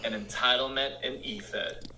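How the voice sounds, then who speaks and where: A man's voice: medium pitch, fairly even intonation, normal speed. A man giving a hyped promo for an upcoming show. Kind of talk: promo announcement. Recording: distant bright clip with noise.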